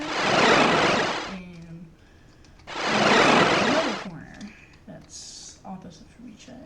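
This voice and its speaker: A woman's voice: mid-range voice, heavy background noise. A woman giving casual, rambling commentary on a game while she plays it. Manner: narrates on-screen action while playing, loose and rambling. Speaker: YouTuber reviewing a game mod. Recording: roomy, distant mic under loud game music.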